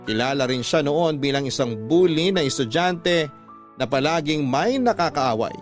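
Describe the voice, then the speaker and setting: A man delivering scripted dramatic narration as a radio drama storyteller. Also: on the high side.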